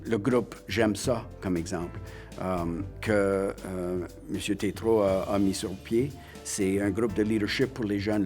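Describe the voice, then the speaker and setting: A man, speaking haltingly in a documentary interview. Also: on the low side, darkish tone. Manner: halting, frequent fillers.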